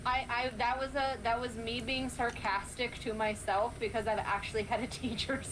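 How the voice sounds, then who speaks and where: A young woman guest streamer chatting casually while gaming, animated and sarcastic. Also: on the high side, noisy background. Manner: animated, sarcastic, quick reactions.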